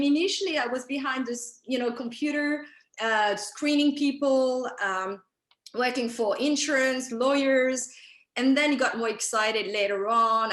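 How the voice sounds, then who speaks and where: Female actress guest, French-accented English with British traces, mid pitch, chatty storyteller, echoey room. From France. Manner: animated, chatty, lots of fillers and quoted dialogue. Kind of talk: casual interview storytelling. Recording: roomy echo.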